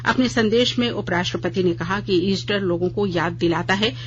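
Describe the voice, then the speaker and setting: A news reader delivering a scripted radio bulletin in a formal, measured way. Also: medium pitch. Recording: dull lo-fi radio audio with noise and hum.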